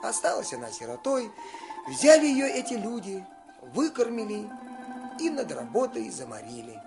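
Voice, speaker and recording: Male storyteller, high voice, singsong folk-tale narration, faint music underneath. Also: huge pitch swings, moderate pace. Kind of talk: scripted fairy-tale narration.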